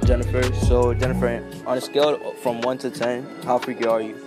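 A young man running a casual street interview in a shopping mall, excitable and full of slang. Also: medium pitch, brisk. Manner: loud, excitable, slangy teen banter. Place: busy shopping mall indoors. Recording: music and crowd noise behind.

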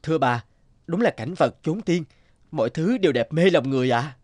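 An actor playing an earnest, polite and hesitant young scholar in an animated folktale, homesick for his village. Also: a little high, a little fast, dry recording, close to an everyday voice. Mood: shy, enchanted.

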